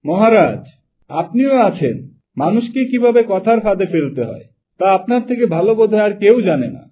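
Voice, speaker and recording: Man playing a high-voiced sly royal minister. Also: sing-song, normal speed, warm tone. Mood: sly, doubtful. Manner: obsequious, sly. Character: cunning, flattering royal minister. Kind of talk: Bengali cartoon dubbing.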